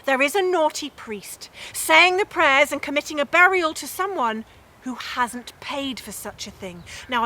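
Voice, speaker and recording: Sly, high-voiced prioress, played by a woman. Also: bright, forceful tone, exaggerated voice. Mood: coaxing, sly. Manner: coaxing, mock-sympathetic. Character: cunning, politely manipulative medieval prioress. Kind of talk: storybook character in oral storytelling.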